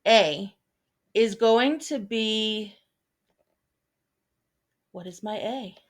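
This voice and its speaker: A woman in her thirties, a teacher, explaining a lesson in a relaxed, chatty way, with frequent ums and small asides. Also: fairly high pitch, very slow. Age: 30s. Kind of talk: recorded online lesson. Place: at home, seated at a desk.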